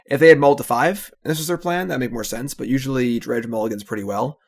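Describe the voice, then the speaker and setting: A man casually commentating his own card game, analytical and relaxed, often trailing off with "so". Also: mid-range voice, fast talker, vocal fry.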